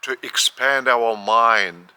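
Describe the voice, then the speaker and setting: A man with a Lithuanian accent, whose first language is Lithuanian, giving a spiritual teaching in a measured way, often repeating and restarting phrases. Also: mid-range voice, pushed and bright, smooth. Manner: measured, with repetitions and careful restarts. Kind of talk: slow spoken teaching.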